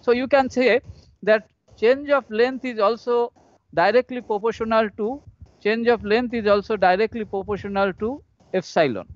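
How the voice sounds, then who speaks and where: A high-voiced man with an Indian accent gives a technical lecture over an online session. He works through equations haltingly, repeating his phrases as he goes. The sound is dry and somewhat dull and lo-fi. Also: fairly even intonation, no echo. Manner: repetitive, halting step-by-step explanation. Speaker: engineering professor. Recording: dull lo-fi online call audio.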